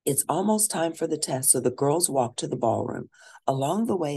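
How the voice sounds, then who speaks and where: A woman reading a children's storybook aloud expressively, with drawn-out emphasis for young listeners. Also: low-pitched, gravelly, dark tone, breathy edge. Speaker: storybook reader.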